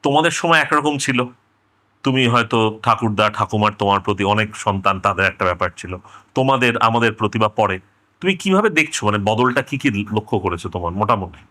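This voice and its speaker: A man's voice: fairly low pitch, expressive, a little fast, sharp tone. A man conducting a casual interview, informal and exploratory, rephrasing his questions as he goes.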